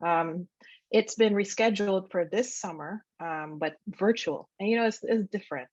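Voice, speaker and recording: A woman's voice: mid-range voice, normal speed, a little mellow, dry recording, highs cut off. A woman from Guyana, an academic, answering audience questions thoughtfully with frequent ums and restarts over a video call. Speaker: academic speaker. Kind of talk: answering questions after a lecture.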